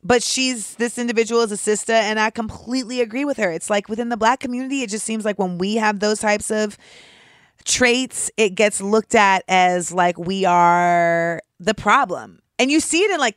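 A woman in her thirties with a deep voice, hosting a casual commentary show in a candid, sarcastic way and saying "like" often. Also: moderate pace, no echo, studio quality. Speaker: host.

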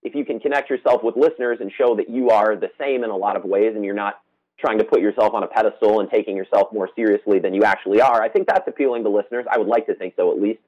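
A man's voice: lowish voice, muffled recording. A man, a student radio host speaking as a guest in an earnest, eager way. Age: 20s. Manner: earnest, eager, quick-talking. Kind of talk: casual interview chat.

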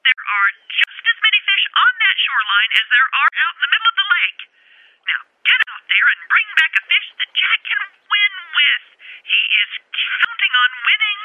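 An actress as scolding wife on tinny phone line. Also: female voice, sits high, very animated, normal speed, heavy background noise. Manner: scolding, bossy, no-nonsense. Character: exasperated, scolding older wife on the telephone. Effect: telephone filter. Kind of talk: radio play acting.

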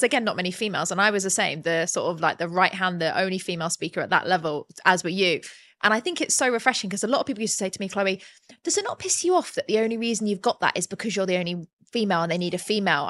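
A woman's voice: mid-range voice, fairly bright tone. A woman hosting a podcast interview. She asks rambling questions and encourages her guest. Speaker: podcast host.

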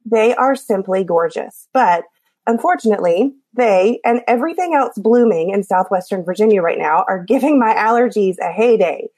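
A woman's voice: mid-range voice, animated, normal speed, a little mellow, slightly rough, no room sound. Woman host with an allergy-scratchy Appalachian voice. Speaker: podcast host. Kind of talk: scripted podcast intro and casual chat.

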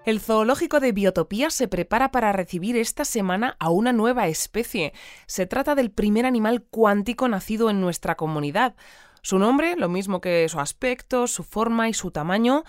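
An actress playing a composed radio newsreader in an audio fiction, reading scripted news in a measured, polite, deadpan way. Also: female voice, medium pitch, no room sound, studio quality, lightly acted. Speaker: news presenter.

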